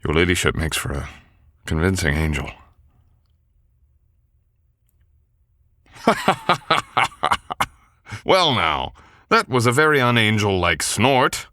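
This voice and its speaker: Gallant young knight, courtly, lower pitched, dry close recording. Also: male voice, very animated, takes it slowly, pushed and bright, dry recording, exaggerated voice. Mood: teasing. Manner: old-fashioned courtly speech. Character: wounded young male knight, gallant and protective. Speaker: voice actor. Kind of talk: boyfriend ASMR audio drama.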